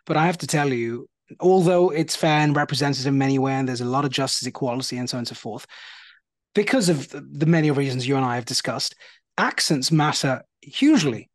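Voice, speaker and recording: A man's voice: medium pitch, fairly quick. A man in his forties with a British accent, hosting an interview show and talking animatedly from home over a video call. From United Kingdom. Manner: animated, gestures with his hands. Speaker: host. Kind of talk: interview conversation. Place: bright home room.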